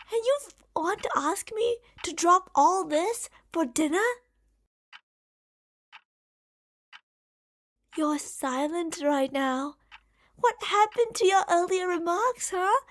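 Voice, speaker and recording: A woman's voice: very high voice, unhurried, a bit bright. A woman playing an impatient, obsessive female mad scientist in an ASMR roleplay, theatrical and condescending. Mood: mocking, indignant.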